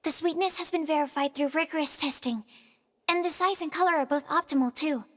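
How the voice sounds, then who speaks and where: A woman's voice: high voice, a little fast, fairly bright tone. A woman playing a shy, earnest young female alchemist in a video game, speaking in a modest, precise, bookish way. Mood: proudly technical. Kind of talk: video game character dialogue. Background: faint music.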